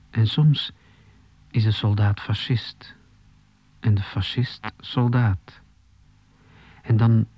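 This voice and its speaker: An actor playing an earnest imprisoned poet in a radio play, speaking in a halting, deliberate, poetic way with a husky, breathy voice. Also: male voice, on the low side, no room sound. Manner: halting, deliberate, repeating phrases. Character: meek, earnest imprisoned poet, humbly explaining himself to authority. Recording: slightly dull 1980s radio recording.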